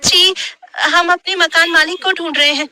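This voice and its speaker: A woman voicing an emotional, pleading young wife in an animated horror story. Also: extremely high pitch, normal speed, voice pushed up, exaggerated voice, poor audio. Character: frightened, scolding young wife in a haunted house.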